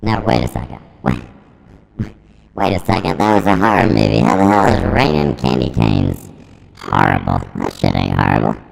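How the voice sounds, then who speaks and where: A man in his forties rambling through a deadpan, profane improvised comedy monologue, his voice run through a pitch-shifting voice transformer. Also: creaky, poor audio. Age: 40s. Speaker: comedic host demonstrating a voice-effect device.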